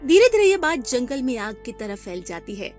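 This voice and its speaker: A woman narrating a children's cartoon story in a clear, storytelling cadence. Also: high voice, big pitch swings, normal speed, a little mellow, soft background music.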